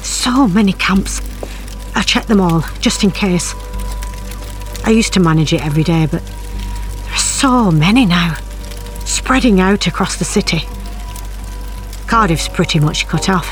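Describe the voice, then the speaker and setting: A woman's voice: on the low side, expressive, unhurried, pauses often, faint music behind. An actress playing a middle-aged mother, anxious and grieving for her missing son, in an earnest audio drama style. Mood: weary, hopeful. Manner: emotional, earnest. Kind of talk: audio drama acting with narrated monologue.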